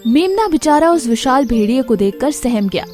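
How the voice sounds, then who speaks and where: A woman storyteller reading scripted children's moral tales in an expressive way. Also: sits high, moderate pace, soft background music.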